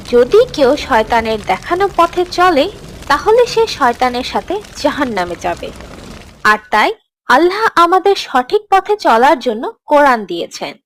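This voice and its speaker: A woman playing a gentle, encouraging teacher who tells scripted religious stories to children in a cartoon. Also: high voice. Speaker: storyteller, teacher.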